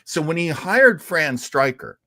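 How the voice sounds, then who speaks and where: A man hosting a radio show, telling stories in a casual, animated and deliberate way with emphatic repetition. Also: on the high side, no room sound, clean studio sound. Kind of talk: casual storytelling talk show.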